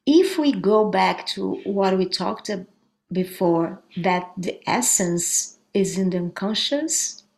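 A woman's voice: medium pitch, takes it slowly, vocal fry, poor audio. A woman from Brazil with a Brazilian Portuguese accent, a musician answering interview questions thoughtfully and often restarting her sentences. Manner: thoughtful, searching for words, frequent restarts. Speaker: musician guest. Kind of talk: conversational interview.

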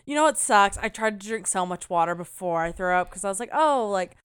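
Loud woman podcast co-host, booming and teasing, smooth clear tone, dry close sound. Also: fairly quick, no echo. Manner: animated, teasing, full of fillers. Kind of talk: casual chat.

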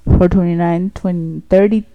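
A woman's voice: fairly low pitch, steady tone, normal speed, highs cut off, a little hiss or hum. A woman in her late twenties from California, co-hosting a podcast in a casual, hesitant chat. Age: 20s. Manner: hesitant, brief replies. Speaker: podcast co-host.

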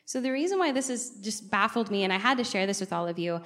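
A woman's voice: mid-range voice, animated, quick pace, fairly bright tone. Woman preaching a conversational, interactive sermon. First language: English. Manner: engages listeners with frequent direct questions. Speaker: guest preacher. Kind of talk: conversational church sermon with questions to the congregation. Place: church, speaking to a congregation.

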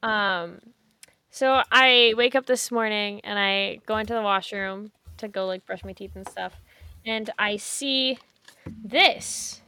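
A woman's voice: mid-range voice, slow pace, pushed and bright, clear, resonant tone, dry recording. A woman chatting casually on a podcast, giggly and talkative, with many fillers as she describes things. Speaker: podcast co-host. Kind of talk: casual chat.

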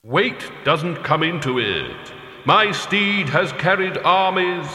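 A man voicing Death, an ancient solemn skeletal horseman who speaks slowly in grave, archaic tones. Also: big pitch swings, sharp tone, heavily acted. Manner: slow, grave, archaic and booming. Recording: roomy echo with long reverb.

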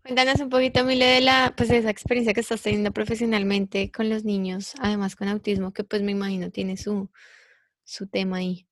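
Flat-toned woman host. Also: medium pitch, brisk, no room sound. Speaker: podcast host. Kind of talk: casual interview.

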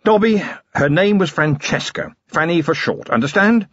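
A man doing a gruff, wry commander. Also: a little high, normal speed, fairly bright tone, no room sound, lo-fi sound, voice pushed up. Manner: dry, grumbling and wry. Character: gruff middle-aged police commander, wry.

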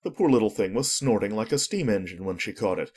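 A man's voice: on the low side, lively intonation, fast, a little mellow, slightly rough. A man narrating a storybook as a scripted audiobook, telling it expressively.

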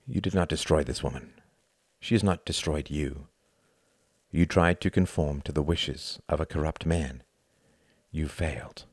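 A man's voice: extremely low pitch, a little slow, husky, no room sound, studio quality, exaggerated voice. A man voicing a sardonic, articulate male friend in an audiobook, reasoning and lecturing with emphatic exasperation. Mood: calm, wry.

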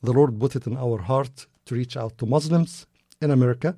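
A man's voice: medium pitch, fast, dark tone, no echo, clean studio sound. A middle-aged man from Egypt, a native Arabic speaker with an Egyptian accent, giving a conversational testimony as a radio interview guest. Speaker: interview guest, ministry founder and evangelist.

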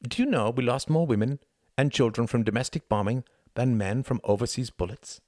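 A man's voice: mid-range voice, a little fast, a little mellow, no room sound, clean studio sound, voice pushed up, lightly acted. A man voicing a charming, sensitive young man in a storybook, easily moved and hesitant in his speech. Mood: subdued. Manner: hesitant, emotional.

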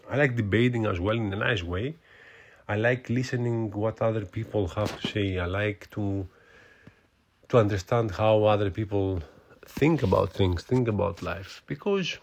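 Gravelly Greek-accented man, low voice. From Greece. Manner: hesitant, many fillers and restarts. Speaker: podcast host. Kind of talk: solo podcast monologue.

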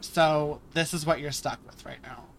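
A man's voice: fairly high pitch, fairly even intonation, moderate pace, a bit bright, slight background noise. A man improvising casually in a tabletop roleplaying game, making up characters and what they say.

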